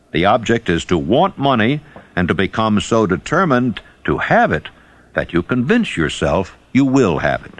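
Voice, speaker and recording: A man reading an audiobook as scripted narration, with deliberate, emphatic phrasing. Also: lowish voice.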